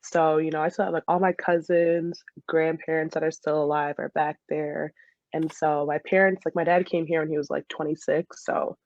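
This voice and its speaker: A woman's voice: deep voice, little pitch movement, normal speed, darkish tone. Young woman chatting casually as a podcast guest, easygoing, bit dull lo-fi sound, no room echo. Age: 20s. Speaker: interview guest, dental student and soccer player. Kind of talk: casual chat.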